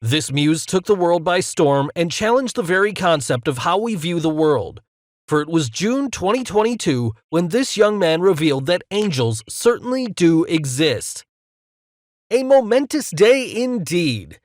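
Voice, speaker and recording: Man playing an excitable high-voiced news host. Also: unhurried, dry recording, studio quality. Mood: marvelling. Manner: theatrical, breathless wonder, emphatic. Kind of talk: broadcast presenter acting in audio drama.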